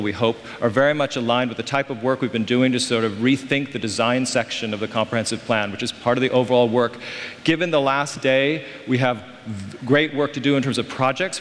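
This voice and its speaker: A man's voice: medium pitch, a bit bright. Man introducing speakers in a hall. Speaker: moderator. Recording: hall with long reverb.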